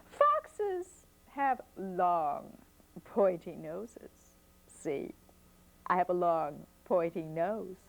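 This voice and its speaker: Vain pompous male fox, sly hushed voice, shrill when insisting, storybook telling, as a woman puts him on. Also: very animated, drawn-out words, vocal fry, heavily acted.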